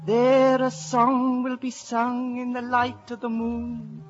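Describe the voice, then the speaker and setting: An actress playing a solemn, foreboding old Irish village prophetess in a radio drama. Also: female voice, a little high, monotone, takes it slowly. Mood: ominous. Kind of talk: 1950s radio drama acting. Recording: muffled vintage radio, faint music.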